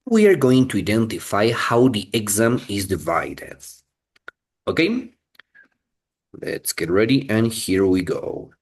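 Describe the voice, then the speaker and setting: Animated teacher running an online exam prep class, bit raspy, keeps checking in. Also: medium pitch, slow. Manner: frequent checking questions like okay and right. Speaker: English teacher. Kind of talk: online exam-prep lesson, explaining to students.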